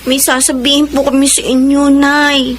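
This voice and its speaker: An actress playing a timid, distressed young daughter in a Filipino radio drama, pleading and respectful. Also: female voice, high voice, little pitch movement, normal speed, slight background noise, natural voice. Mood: anxious.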